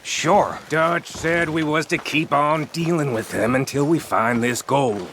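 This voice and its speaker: An actor playing a brusque, impatient American outlaw in a video game, blunt and curt. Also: male voice, a little high, a little slow, fairly bright tone, slight background noise. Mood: dismissive. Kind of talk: dramatic video game acting.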